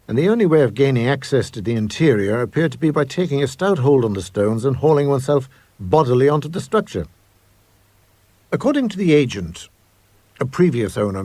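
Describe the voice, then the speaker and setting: A man with a husky voice narrating a ghost story in a measured, expressive, old-fashioned gentlemanly manner. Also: lowish voice, dry recording. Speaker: reader of an audio ghost story. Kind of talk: dramatic first-person scripted narration.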